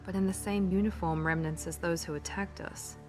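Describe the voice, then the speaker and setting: A woman plays a cool female squadmate in a sci-fi game. Her lowish voice is measured and analytical, with music clearly behind it. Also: fairly even intonation, lightly acted.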